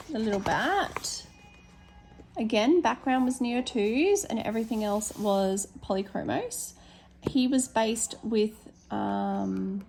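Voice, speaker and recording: Hoarse-ish Australian woman, chatty. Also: fairly high pitch, darkish tone, slight background noise. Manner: chatty, many ums, corrects herself. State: slightly hoarse, losing her voice. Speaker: teacher showing her colouring pages. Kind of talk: casual flip-through chat.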